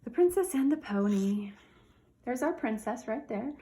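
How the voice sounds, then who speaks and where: A woman teacher doing a read-aloud for children, talking directly to her young listeners in an animated way. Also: on the high side, moderate pace.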